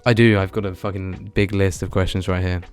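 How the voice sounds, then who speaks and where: A young man with a deep voice hosts a casual podcast. His intonation stays level and laid-back, with soft guitar music playing behind him. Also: flat delivery, fairly quick, smooth. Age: young adult. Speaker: podcast host. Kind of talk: casual podcast interview chat. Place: small dim room at home. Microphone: close desk microphone with headset.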